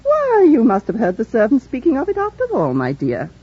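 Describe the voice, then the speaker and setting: A woman's voice: a little high, wildly swooping pitch, fairly quick, warm tone, breathy edge, heavily acted. A woman playing a kindly, fussy older housekeeper in an old radio drama, chatty, effusive and deferential. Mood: welcoming, chatty. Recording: dull, slightly noisy vintage radio broadcast.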